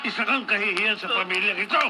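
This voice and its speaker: A man with a Filipino accent playing a harsh middle-aged father in a radio drama, barking with forceful scorn. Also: high-pitched, quick pace, music in the background, natural voice. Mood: furious. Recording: very noisy radio drama with loud ambience.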